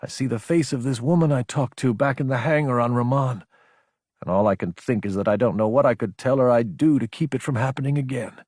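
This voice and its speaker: A man's voice: medium pitch, brisk, a little mellow, breathy edge, dry recording, studio quality, voice pushed up, natural voice. A man voicing an intense, anguished young military officer in an audiobook, insubordinate and emphatic. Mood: frustrated, helpless. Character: young male military officer, fierce, anguished, self-doubting. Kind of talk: audiobook character acting.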